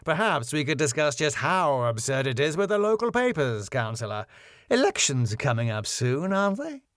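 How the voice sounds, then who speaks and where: A man's voice: on the high side, very animated, moderate pace, fairly bright tone, dry recording, studio quality, voice pushed up. A man voicing a sly, sarcastic, smug schemer in a sitcom script reading. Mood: smugly threatening.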